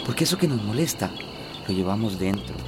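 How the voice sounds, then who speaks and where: An actor playing a caring, reflective grandson in a radio soap, earnest and consoling. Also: male voice, on the low side, animated, fairly quick, loud music behind the voice, close to an everyday voice. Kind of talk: radio soap opera acting.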